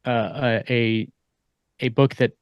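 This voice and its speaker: A man speaks as a panelist in a casual podcast discussion. His mid-pitched voice is recorded dry. He explains in a halting, emphatic way, often repeating words. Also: steady tone, smooth, dry recording.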